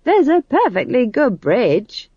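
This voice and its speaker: A woman's voice: fairly high pitch, huge pitch swings, slow pace, husky, no room sound, highs cut off, pitched above the performer's own voice, full character voice. A woman voicing a cheerful, bossy old witch in a storybook, blunt, folksy and chatty. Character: brash, bossy, cheerful old witch posing as humble wood-gatherer.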